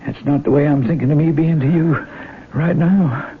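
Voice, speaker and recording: An actor playing a lonely, lustful middle-aged farmer in a radio drama, with a halting, pleading way of talking. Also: male voice, a little high. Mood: desperate, pleading. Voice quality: raspy, creaky. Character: lonely middle-aged Texas farmer, awkwardly lusting after foster daughter. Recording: tinny vintage radio broadcast.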